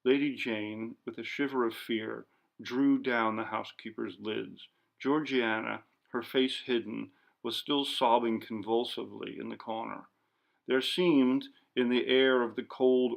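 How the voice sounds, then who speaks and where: A man narrating a literary ghost story as an audiobook, in a measured, dramatic manner. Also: medium pitch, gravelly, muffled recording.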